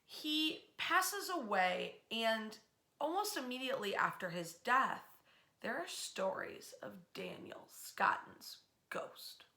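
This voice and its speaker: Bright-voiced American woman telling history, echoey room. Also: mid-range voice, gravelly. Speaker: history storyteller, host. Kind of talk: informal narrated history storytelling.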